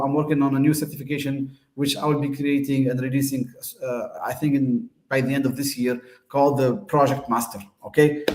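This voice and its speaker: A man's voice: a little high, echoey room. A man from Tunisia, host of the show, giving a casual, hesitant explanation full of fillers and restarts.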